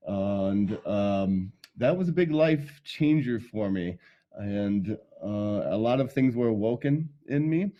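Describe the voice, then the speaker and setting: A middle-aged man from Michigan, telling his life story as an interview guest in a relaxed way, with many ums and laughs. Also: fairly low pitch, takes it slowly, dull audio. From Michigan, USA. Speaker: guest, sound healer.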